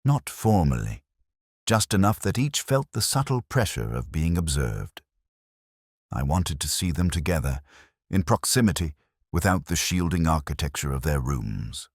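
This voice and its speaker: A man narrating as a brooding, world-weary police inspector recounting a case in measured, solemn, literary first person. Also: very deep voice, husky, dry recording, clean studio sound, heavily acted. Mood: watchful, grave.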